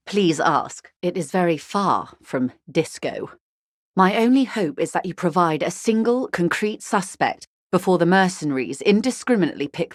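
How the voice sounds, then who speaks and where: An actress voicing a composed, well-educated female corporate negotiator in a video game, speaking formally and politely in a measured, articulate way. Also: fairly low pitch, no echo, studio quality. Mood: grave, courteous. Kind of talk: dramatic video game dialogue.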